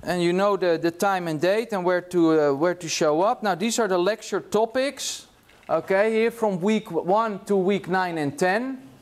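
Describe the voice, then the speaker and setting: A man's voice: high-pitched, unhurried. A middle-aged man from the Netherlands with a Dutch accent, giving a casual university lecture in a large hall and checking in with "yeah?" as he goes. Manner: casual, chatty, tags remarks with "yeah?". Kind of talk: classroom lecture.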